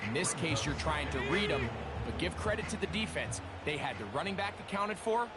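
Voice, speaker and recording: A man breaks down a play in a calm, analytical way for a football video game, his voice sitting fairly high. Loud music runs under him. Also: brisk. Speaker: color commentator. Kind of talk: video game color commentary.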